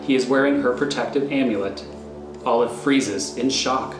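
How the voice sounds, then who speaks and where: A man reading scripted scene directions as narrator, in a deliberate storytelling manner. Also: medium pitch, over music. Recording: echoey room with faint noise.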